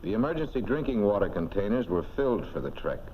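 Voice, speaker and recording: Male narrator, 1940s instructional film, fairly low, punchy folksy delivery, dull and slightly noisy audio. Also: big pitch swings, quick pace. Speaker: narrator of a military training film.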